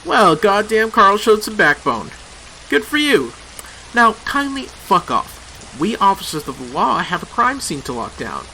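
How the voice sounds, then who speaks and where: An actor playing a blunt, sarcastic, foul-mouthed small-town police chief in a radio drama. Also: male voice, sits high, sing-song, measured pace, sharp tone, a little hiss or hum, full character voice, rough recording. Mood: sardonic.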